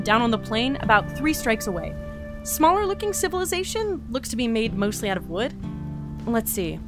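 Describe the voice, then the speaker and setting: A woman playing an eager, naive explorer entity who excitably logs observations aloud in an audio drama. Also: sits high. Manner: excitable, gushing, talking through observations aloud. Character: eager, naive young explorer entity logging a new reality. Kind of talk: audio drama mission log.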